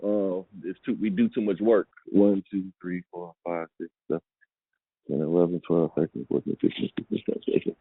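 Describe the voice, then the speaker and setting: Deep husky man coaching over the phone. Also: steady tone, a little fast, dry recording. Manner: conversational, repeats check-in questions for understanding. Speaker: pallet business coach advising a caller. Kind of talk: casual coaching conversation. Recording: narrow phone-like call audio.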